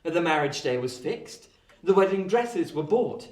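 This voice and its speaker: An actor playing a genial, well-bred young London gentleman, polite, tactful and chatty, in a radio play. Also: fairly high pitch, sing-song, measured pace, distant mic. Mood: storytelling. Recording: echoey room, off the mic.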